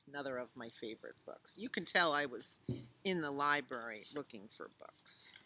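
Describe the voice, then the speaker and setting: A woman's voice: very deep voice, expressive, normal speed, pushed and bright. An American woman in her sixties reading a picture book aloud to young children outdoors, with simple explanatory asides and questions. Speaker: children's librarian reading storytime. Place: outdoors in a leafy garden.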